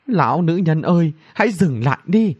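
A man voicing a shrill, bratty young princess. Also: big pitch swings, normal speed, breathy edge, muffled recording. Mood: desperate pleading. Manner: whiny, insulting, exclamatory.

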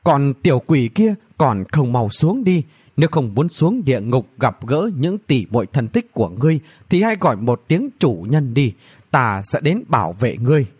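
A man's voice: medium pitch, big pitch swings, normal speed, a little mellow, slightly rough, dry recording. A man voicing a cocky young male hero in a fantasy audiobook, mocking and commanding. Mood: taunting.

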